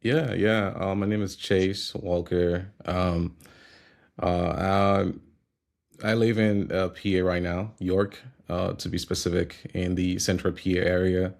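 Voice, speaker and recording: A man from Liberia in his thirties speaks with a very low, deep voice. He talks in a relaxed, reflective way, pausing often with fillers as a guest in a conversational interview. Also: vocal fry.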